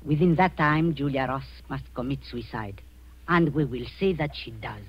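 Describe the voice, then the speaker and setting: A woman's voice: a bass voice, moderate pace, breathy edge, no room sound. An actress playing a ruthless, imperious middle-aged woman spy posing as a kindly aunt, in theatrical 1940s radio style. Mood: coldly commanding. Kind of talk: 1940s radio suspense acting. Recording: muffled 1940s radio recording.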